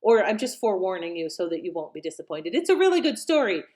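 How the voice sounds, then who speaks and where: Woman host doing a casual intro, medium pitch, chatty and informal. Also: big pitch swings, fast talker, a little mellow. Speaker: podcast host introducing old radio drama.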